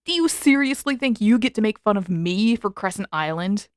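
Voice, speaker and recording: A woman voicing a brash, defensive teenage boy who protests loudly and excitably in a story reading. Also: mid-range voice, moderate pace, no room sound, studio quality, lightly acted. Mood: indignant.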